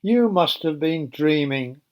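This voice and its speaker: Man acting a sceptical, edgy man, slow-paced. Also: a little high, expressive, darkish tone. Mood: snappish. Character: snappish, sceptical, nervous man.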